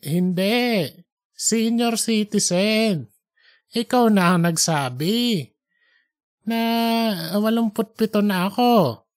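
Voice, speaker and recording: A performer voicing a devout, earnest man in his late eighties for an audiobook story, speaking slowly and deliberately. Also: mid-range voice, animated, no echo, studio quality, pitched above the performer's own voice. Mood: earnest, matter-of-fact. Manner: slow, deliberate, hesitant openers. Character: pious, earnest 87-year-old Filipino grandfatherly man. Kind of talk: fictional audiobook character dialogue.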